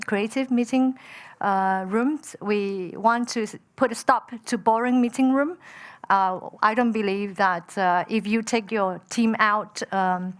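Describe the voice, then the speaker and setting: Woman telling her founder story. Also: mid-range voice. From Malaysia. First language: Chinese. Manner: frequent fillers, lively and determined. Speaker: entrepreneur and panel speaker. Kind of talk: personal story told on a panel.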